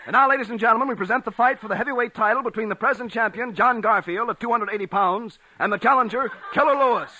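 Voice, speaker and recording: A man playing an excitable ringside announcer with an American accent, delivering dramatic commentary in 1940s radio style. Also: very high-pitched, no echo, full character voice. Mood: excited. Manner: rapid, dramatic, projected delivery. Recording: noisy, clicky old radio broadcast.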